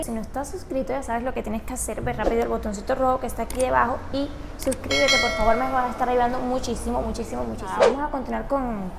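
A woman's voice: fairly high pitch, vocal fry, background noise. A woman in her late twenties from Cuba with a Cuban Spanish accent, chatting to camera as she answers viewer questions one by one in a casual vlog. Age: 20s. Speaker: YouTuber. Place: indoors, large room with tables.

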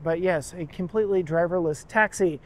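A man's voice: sits high, fairly quick. A man hosting a car channel, walking through an auto show and chatting enthusiastically about the vehicles while cueing his camera operator. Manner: enthusiastic, chatty, gushes over details. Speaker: car reviewer and channel host.

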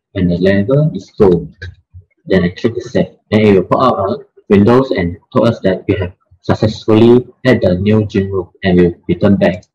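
A young man presents a software demo in a plain, slightly halting way. His voice is low, dark and a little husky. The recording sounds dull and lo-fi. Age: young adult. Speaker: student presenter.

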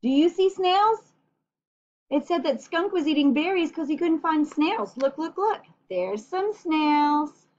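A woman reads a picture book to young listeners at a very unhurried pace, in a fairly high voice. She is gentle and expressive, breaking off for chatty asides. The webcam recording is a little dull and the voice is somewhat husky. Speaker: storyteller reading a picture book. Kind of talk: read-aloud story time with chatty asides for children. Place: small room at home. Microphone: computer webcam at arm's length.

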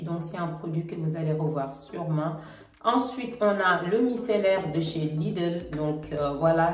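A middle-aged woman with a cold and a slightly congested voice, chatting casually to camera about products with a relaxed, hesitant manner. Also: low-pitched. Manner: relaxed, hesitant, many fillers. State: has a cold. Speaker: beauty vlogger. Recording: roomy, slightly distant, faint music.

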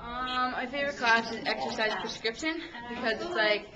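A young college woman from Canada chats about herself in a casual, giggly way. Her voice sits a little high, and the camcorder sound is dull and lo-fi. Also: moderate pace, crowd noise. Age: young adult. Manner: chatty, laughs easily, uses "like" often. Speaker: college athlete. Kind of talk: casual self-introduction.

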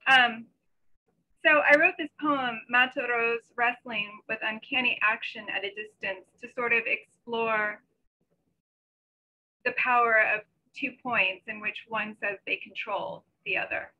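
A middle-aged woman presents her poetry in a high, bright and forceful voice. Her pace is on the slow side, and the video-call recording is a little lo-fi. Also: steady tone, slight background noise. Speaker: poet reading own work. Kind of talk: poetry reading with informal introductions. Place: small bedroom at home. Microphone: computer mic on a video call. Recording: slightly dull video call audio.

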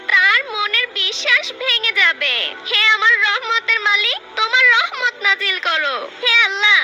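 A woman's voice: very high voice, voice pushed up, rough recording. A woman voicing a distraught, devout mother in a cartoon, pleading and wailing emotionally for her lost son. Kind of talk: melodramatic cartoon dubbing.

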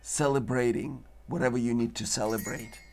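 Gravelly man declaiming on a talk show. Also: medium pitch, steady tone, moderate pace, loud music behind the voice. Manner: dramatic, declaiming in lists. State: losing his voice. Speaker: show host. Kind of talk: rambling philosophical monologue. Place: studio with green-screen backdrop.

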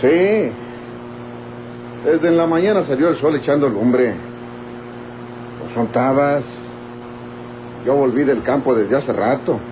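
An actor playing a blunt, disapproving country brother in an old radio drama, with a Mexican accent and curt, colloquial speech. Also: male voice, mid-range voice, slow, long pauses, phone-quality sound, lightly acted. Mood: guarded. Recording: noisy, band-limited old radio recording.

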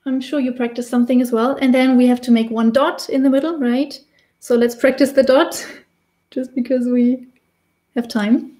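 German-accented woman in her thirties, warm and slightly high, relaxed chatty live-stream teacher. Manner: chatty, laughs often, restarts while painting. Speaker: face painting tutor. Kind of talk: casual live-stream tutorial. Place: small indoor room with decorated wall.

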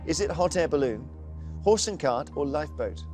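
A man's voice: a little high, animated, moderate pace, darkish tone, faint music behind. A middle-aged man hosting a TV quiz show in a studio, chatty and jokey. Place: TV studio set.

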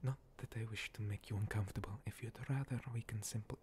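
A man playing a gentle, long-lived monster cleric in a whispered ASMR roleplay, breathy, courteous and a little hesitant, with formal phrasing. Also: on the low side, fairly even intonation, quick pace, a little hiss or hum, lightly acted. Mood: gentle, hospitable.

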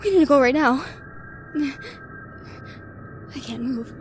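A young woman creeps through an asylum in this horror audio drama, stammering with nerves, as an actress plays her. Her voice sits a bit high and comes slow and careful. Steady background noise runs underneath. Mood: panicked. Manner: nervous, stammering. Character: frightened young woman exploring a haunted asylum. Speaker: voice actor.

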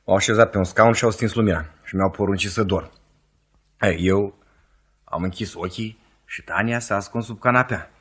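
An actor playing a simple, bewildered young servant with a husky voice in a Romanian radio play. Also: male voice, on the low side, a bit bright. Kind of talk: Romanian radio theatre acting. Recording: 1950s radio theatre recording.

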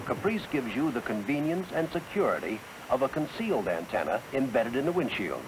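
A man's voice: mid-range voice, very animated, fairly quick, background noise. An American man reading scripted 1970s promotional narration with a polished, emphatic announcer delivery, on a vintage film soundtrack.

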